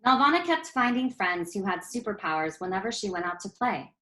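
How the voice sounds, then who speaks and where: Expressive woman reading a picture book. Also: mid-range voice, a little fast, rough recording. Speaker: storyteller. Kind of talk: children's picture-book read-aloud.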